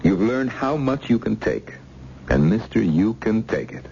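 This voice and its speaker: Gravelly, authoritative official, deep voice. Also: male voice, lively intonation, a little slow, a little mellow. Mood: assured, approving. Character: assured male official running a secret endurance experiment. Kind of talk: radio drama acting. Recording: muffled, faintly noisy old radio recording.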